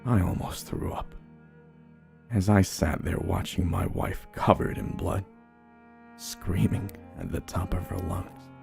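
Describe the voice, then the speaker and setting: A man's voice: sits low, steady tone, gravelly. A man narrating a first-person horror story in a somber, dramatic manner. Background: slow dark music.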